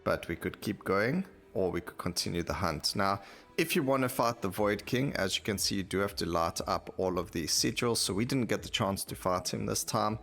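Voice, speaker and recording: Deep gravelly man doing gameplay commentary. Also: normal speed, a bit bright. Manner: thinking aloud, conversational, repeats himself. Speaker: gaming video host. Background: game music.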